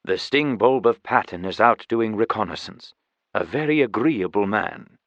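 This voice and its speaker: A man's voice: on the low side, expressive, normal speed, a bit bright, no room sound, highs cut off. A man voicing an immense tiger guardian in an audiobook, formal, dignified and courteous. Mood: calm, courteous. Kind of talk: audiobook character voice.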